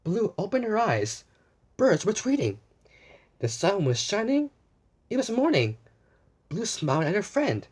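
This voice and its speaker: Animated, unhurried children's storyteller. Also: medium pitch, slightly rough. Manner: gentle exclamations, asides and repeats to young listeners. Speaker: children's story time host.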